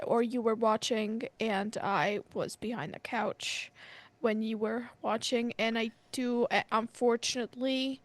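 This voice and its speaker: Higher-voiced woman, playful and rambling. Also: dry recording. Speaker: comedy panelist. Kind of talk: casual improvised comedy chat.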